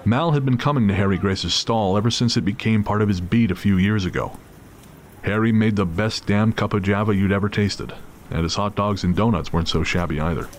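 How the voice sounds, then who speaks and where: A man's voice: lowish voice, fairly quick, a little hiss or hum. A man narrating a scripted audio drama as storyteller.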